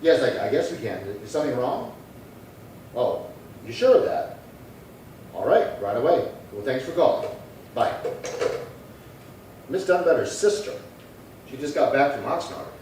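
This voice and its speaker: A man's voice: medium pitch, big pitch swings, a little slow, long pauses, a little mellow. Man acting a clipped police sergeant, very echoey. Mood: businesslike. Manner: clipped, matter-of-fact, polite. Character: calm, dutiful male police sergeant detective. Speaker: actor. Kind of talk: old-time radio crime drama acting. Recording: echoey room from far off, faint noise.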